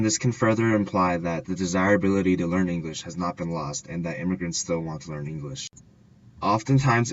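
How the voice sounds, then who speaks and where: A man's voice: low-pitched, lo-fi sound. A man, a student presenter, reading scripted narration of a school report aloud.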